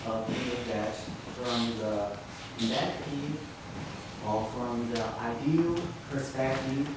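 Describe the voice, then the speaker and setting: A man with a Chinese accent gives a halting public comment at a slow pace, feeling for each phrase as a non-native speaker. He is far from the mic in a very reverberant, noisy room. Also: medium pitch. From China. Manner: hesitant, word-by-word phrasing. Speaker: audience member at conference. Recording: very echoey room, distant mic, loud noise.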